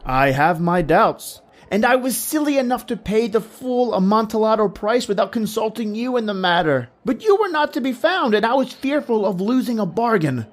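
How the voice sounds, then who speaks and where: A man playing a cold, cunning, vengeful nobleman who narrates a horror tale in a theatrical, deliberate, formal way. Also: sits high, animated. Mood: falsely courteous. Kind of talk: dramatic horror storytelling in old radio manner.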